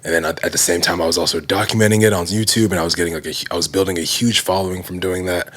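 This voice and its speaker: Very deep-voiced man telling his story. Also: very fast. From Nigerian heritage. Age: 30s. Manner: relaxed, heavy use of fillers like "you know" and "like". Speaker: guest, fitness brand founder. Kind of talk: casual conversational storytelling.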